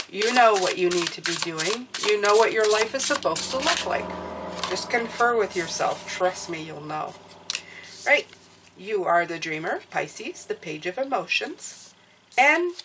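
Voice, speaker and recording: A woman's voice: medium pitch, a bit bright, slight background noise, poor audio. A woman giving a casual tarot reading who sounds stuffed up and nasal, speaking encouragingly and often checking in with "okay?". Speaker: tarot reader.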